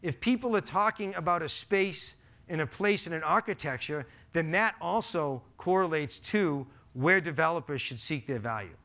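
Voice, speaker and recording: A man in his forties with a Boston accent gives an earnest, emphatic presentation to an audience. His voice sits a little high and carries a bright, forceful edge. He is picked up on a headset mic in a large auditorium. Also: lively intonation, moderate pace. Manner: earnest, emphatic, gestures while speaking. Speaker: conference speaker. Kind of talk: stage presentation. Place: large dark auditorium stage.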